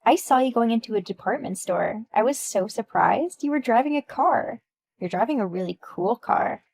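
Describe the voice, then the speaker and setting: A woman's voice: a little high, lively intonation, normal speed, creaky, darkish tone, no room sound. A woman doing a catty, vain, jealous woman. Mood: catty envy. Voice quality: catty, mocking, pushy.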